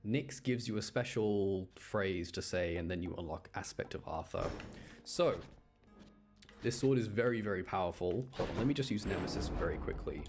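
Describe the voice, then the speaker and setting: A man with a fairly low voice chats through a video game as he streams it. He talks informally, working things out aloud as he goes. Game music plays clearly behind him. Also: normal speed. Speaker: livestreamer. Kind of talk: casual gaming commentary.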